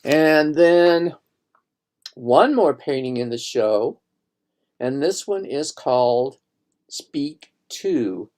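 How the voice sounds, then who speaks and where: A man's voice: a little high, lively intonation, drawn-out words, pauses often, a little mellow. A man, a painter, casually walking viewers through his own online exhibition, rambling and thinking aloud with restarts. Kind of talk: casual live gallery tour.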